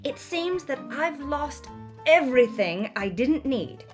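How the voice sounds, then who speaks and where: A woman's voice: high-pitched, sing-song, slow pace, soft background music, voice pushed up, full character voice. Woman acting a melodramatic, flamboyant film actress. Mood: euphoric, manic. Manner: melodramatic, florid, emphatic. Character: flamboyant, excitable female film actress reading her journal.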